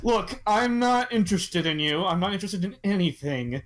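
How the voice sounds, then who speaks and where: A woman's voice: mid-range voice, lively intonation, moderate pace, close to an everyday voice. A woman improvising a brash, wisecracking, greedy little dragon sidekick in a cartoon fandub. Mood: wry. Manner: wisecracking, loud outbursts.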